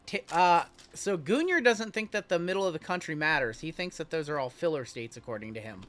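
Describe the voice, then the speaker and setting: A man's voice: a little high, lively intonation, fairly quick, fairly bright tone, slight background noise. A man hosting a live card break, chatting casually and reading the chat aloud with a joking manner. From Iowa. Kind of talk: casual live-stream chat while opening cards.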